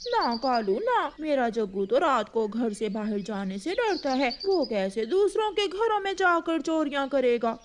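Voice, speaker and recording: A woman's voice: high-pitched, sing-song, measured pace, hardly any pauses, a little hiss or hum. A woman voicing a scheming, bossy mother-in-law crow in a children's cartoon.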